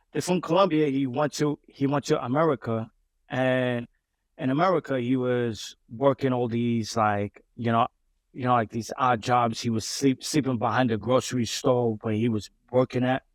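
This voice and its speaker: A man's voice: medium pitch, dry recording. Dry-voiced New man telling his story. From Brooklyn, New York. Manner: repeats words and restarts often. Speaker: guest. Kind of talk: casual interview storytelling.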